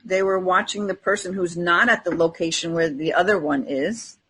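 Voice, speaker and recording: A woman's voice: lowish voice, steady tone, normal speed, a bit bright, husky. A woman in casual podcast conversation, telling personal anecdotes and acting out bits of dialogue.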